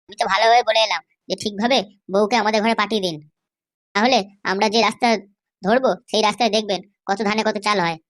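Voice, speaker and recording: A woman's voice: mid-range voice, fairly even intonation, fast, fairly bright tone, close to an everyday voice. A woman voicing a boastful, defiant schemer in a comedy cartoon, full of confident threats. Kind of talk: exaggerated cartoon dialogue.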